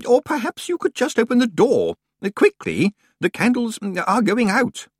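A man's voice: very high-pitched, huge pitch swings, moderate pace, slightly rough, no echo, studio quality, pitched above the performer's own voice, full character voice. A man voicing a nervous, fussy senior librarian who stammers and hesitates. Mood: flustered.

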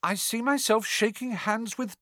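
A man's voice: high voice, unhurried, sharp tone, dry recording, clean studio sound, pitched above the performer's own voice. A man voicing a frightened but defiant young boy, tense and desperate, in an audiobook. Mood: nervous bluffing. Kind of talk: dramatic audiobook character voice.

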